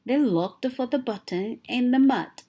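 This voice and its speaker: A woman reading a picture book aloud for children, slow, clear and expressive. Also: a little high. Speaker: children's story reader.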